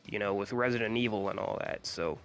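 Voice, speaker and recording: A man with a stuffy nose from a cold, giving his blunt opinions in a casual gaming vlog, swearing freely and filling pauses with ums and uhs. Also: on the low side, a bit bright, faint music behind. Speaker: gaming YouTuber.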